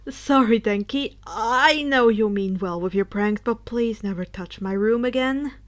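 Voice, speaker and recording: A woman acts out a teenage hero boy with godlike power and a high voice. He is sweet, sheepish and apologetic, speaking brightly and haltingly in a storybook reading. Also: natural voice. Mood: bright apology.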